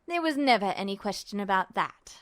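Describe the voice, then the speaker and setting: Cold, menacing man played by a woman narrator, lowered pitch, dispassionate and patronizing, smug story villain. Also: lively intonation, a little fast, no room sound, lightly acted. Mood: cold condescension. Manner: quiet, dispassionate, mockingly patronizing. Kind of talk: dramatized fan fiction reading.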